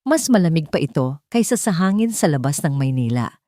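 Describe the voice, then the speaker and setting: A woman's voice: sits low, sing-song, brisk, mellow, dry recording, studio quality. A woman narrating a dramatic scripted love story.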